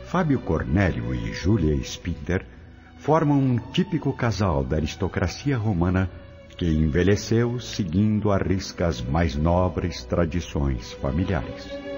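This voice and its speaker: A man narrating a radio drama in a dramatic, measured storytelling manner. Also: sits low, sing-song, music in the background.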